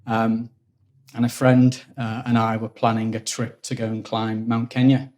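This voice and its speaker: A Liverpool man in his forties tells stories at a relaxed pace in an office interview. His voice stays level and even, with little rise and fall. He often stumbles over and repeats words while he looks for the next thought. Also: mid-range voice, measured pace. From Liverpool, England. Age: 40s. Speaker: guest, engineering executive. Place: office at company headquarters.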